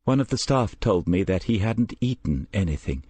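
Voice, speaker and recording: Man putting on a higher-pitched, shaken woman manager. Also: sing-song, darkish tone, breathy edge, no echo. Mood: stunned, halting. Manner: halting, stunned. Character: shaken, hesitant woman care home manager.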